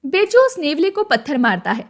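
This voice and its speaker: A female narrator reads a scripted moral story. Her voice sits somewhat high. She delivers it as storytelling narration. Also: big pitch swings, a little fast.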